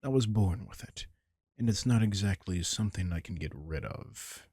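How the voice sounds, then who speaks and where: A man performs a powerful yet gentle vampire king in an intimate comfort roleplay. The voice sits very low, with heavy fry and a husky edge. He speaks in an unhurried, protective way, as if to a single listener. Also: animated. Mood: earnest, confiding. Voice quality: gravelly, husky. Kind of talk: intimate roleplay audio drama, comforting monologue.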